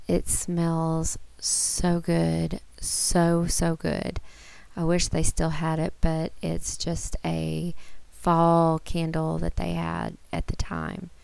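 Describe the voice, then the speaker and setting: A woman in her thirties giving a relaxed, chatty voice-over about cleaning her home kitchen. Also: on the low side, gravelly, clean tone, no echo. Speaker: cleaning vlogger.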